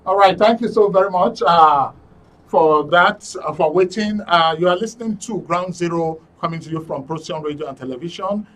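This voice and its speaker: A man's voice: high voice, normal speed, fairly bright tone. A man with a Nigerian accent hosting a live phone-in programme in a polite, formal way, repeating phrases for emphasis. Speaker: radio and TV host.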